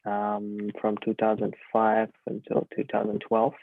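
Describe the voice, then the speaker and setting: Guy in his 30s, low gravelly voice, lots of ums, tinny dry call audio. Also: completely flat delivery, measured pace, no echo. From Uzbekistan. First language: Russian. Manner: hesitant, many fillers. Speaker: guest physician. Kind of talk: casual interview answers.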